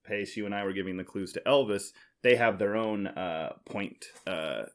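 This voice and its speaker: A man's voice: on the low side, normal speed. A man hosting a casual board game podcast, explaining in a teasing, jokey way. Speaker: podcast host.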